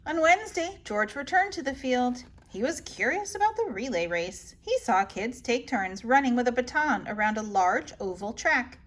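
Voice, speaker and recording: A woman reading children's bedtime stories aloud as a library story-time host, in an animated, expressive manner. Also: a little high, moderate pace, hardly any pauses. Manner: animated, asks the young listeners questions.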